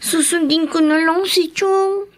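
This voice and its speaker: Young schoolgirl, Filipino accent, tiny high voice, plaintive, childlike, radio drama. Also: steady tone, slow, no room sound, studio quality. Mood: tearful, worried. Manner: plaintive, childlike, respectful to elders. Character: anxious young schoolgirl missing her jailed father.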